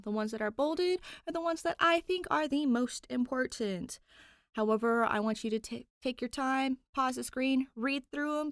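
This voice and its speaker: A woman's voice: sits high, smooth, no room sound. A woman hosting and narrating a fan fiction reading, close to the microphone. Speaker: host and reader of a fan fiction podcast. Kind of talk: audiobook-style story narration with chatty host intro.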